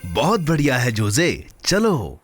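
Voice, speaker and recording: A man voicing a kindly, encouraging companion to a young boy in a children's cartoon dub, reassuring and expressive. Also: mid-range voice, slow pace, loud music behind the voice. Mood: cheerful, approving.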